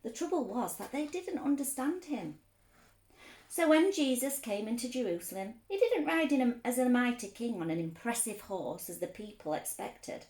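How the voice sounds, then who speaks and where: A woman reading a story aloud for children, expressive, explaining things simply as a teacher would. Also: high-pitched, echoey room.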